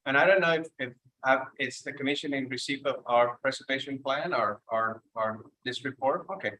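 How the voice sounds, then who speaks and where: A man's voice: mid-range voice, fairly quick, pushed and bright, low-quality recording. A man from Cuba with a Cuban Spanish accent presenting an architectural preservation report over a video call at a public meeting. He is hesitant and often restarts his words.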